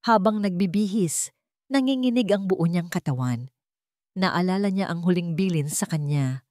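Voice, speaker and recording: Low-pitched Filipino woman narrating dramatically. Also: normal speed, darkish tone, no echo, studio quality. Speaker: story narrator. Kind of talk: scripted dramatic narration of a romance story.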